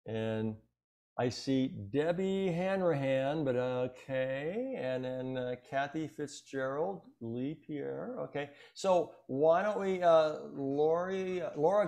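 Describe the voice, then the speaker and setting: A man's voice: a little high, vocal fry, darkish tone. A man in his sixties hosting a video call gathering, directing who speaks next. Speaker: meeting host.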